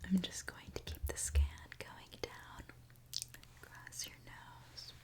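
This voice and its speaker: Female ASMR performer as a gentle, attentive mechanic; whispered, very unhurried delivery. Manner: soft, slow, reassuring, attentive. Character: gentle mechanic repairing a robot client. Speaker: ASMR creator.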